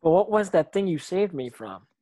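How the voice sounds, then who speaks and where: A man's voice: high-pitched, big pitch swings, moderate pace, warm tone, no echo, clean studio sound. A man playing an earnest, brave schoolboy wizard in an amateur screenplay table read. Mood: puzzled, uneasy.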